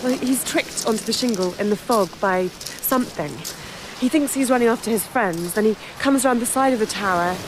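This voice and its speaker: A woman's voice: on the high side, fairly quick, background noise, natural voice. An actress playing an earnest, bookish woman on a documentary crew, explaining things in a naturalistic radio drama. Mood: absorbed, storytelling.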